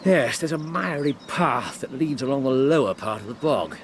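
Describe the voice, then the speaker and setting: A man's voice: medium pitch, expressive, moderate pace, slight background noise, natural voice. An actor playing a brilliant, self-assured English detective in British radio drama style, explaining his reasoning aloud and repeating himself. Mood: analytical, assured. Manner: didactic, thinks aloud, exclaims and repeats himself. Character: brilliant, brisk male detective, keenly absorbed and self-assured.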